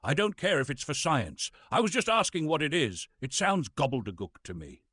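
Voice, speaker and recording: A man's voice: on the high side, big pitch swings, normal speed, fairly bright tone, breathy edge, dry recording, full character voice. Man playing a pompous British thespian, wildly swooping delivery. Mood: indignant. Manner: pompous, irascible, declamatory. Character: pompous, grumpy male Shakespearean thespian posing as physics lecturer. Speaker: lecturer. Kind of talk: theatrical comic lecture monologue.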